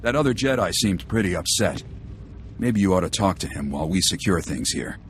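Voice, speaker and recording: An actor playing a brisk army lieutenant in a video game, with a clipped military delivery. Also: male voice, low voice, animated, slight background noise. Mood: wary. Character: brisk, urgent army lieutenant holding a besieged base. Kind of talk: video game cutscene acting. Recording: game music under the voice.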